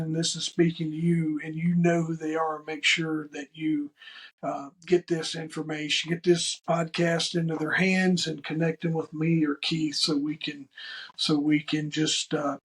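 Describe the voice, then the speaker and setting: A podcast host with a Southern accent, his voice sitting a bit high for a man. He speaks in a flat, level tone, earnest and warm-hearted in his words, often restarting and repeating phrases. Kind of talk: podcast interview.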